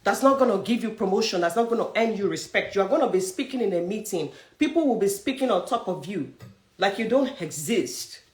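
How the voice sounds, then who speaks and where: Nigerian lady career coach preaching hard at people, pitch all over the place, roomy echo. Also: expressive, a little fast. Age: young adult. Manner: forceful, preachy, direct challenges to listeners. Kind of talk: motivational talk.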